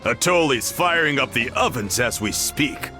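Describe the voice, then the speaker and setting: Upbeat male guardian, animated and warm-spoken, faint music behind. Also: medium pitch, moderate pace. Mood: friendly. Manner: warm, grateful, lively storytelling. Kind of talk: game voice acting. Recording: game audio with faint music.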